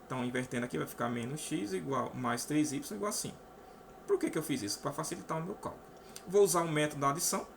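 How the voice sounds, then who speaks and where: A man's voice: a little high, fast talker, vocal fry, a little hiss or hum. A Brazilian man teaching a math lesson to students, walking through each step and asking "né?" often. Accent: Brazilian Portuguese. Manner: explains step by step, often adds "né?". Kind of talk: casual online math lesson.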